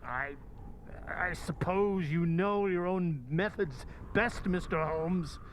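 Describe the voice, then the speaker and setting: An actor playing a formal, somewhat hesitant colonel who owns racehorses, in an old-time radio drama. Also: male voice, high-pitched, takes it slowly, slight background noise. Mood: stiffly courteous. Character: stiff, formal colonel, racehorse owner, adult man.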